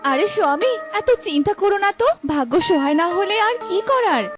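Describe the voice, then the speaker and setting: A woman voicing a poor fox wife in a cartoon, anxious but caring, with a homely, expressive manner. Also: very high-pitched, a little fast, music in the background. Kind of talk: cartoon character voice acting.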